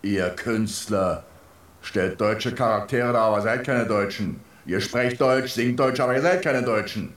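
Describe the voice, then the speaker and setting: Ein Mann als strenger Leutnant, hallig klingend. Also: male voice, fairly low pitch, unhurried, natural voice. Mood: stern. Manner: pompous, military. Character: stern nationalist retired male army lieutenant. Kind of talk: radio drama acting. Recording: echoing, roomy sound.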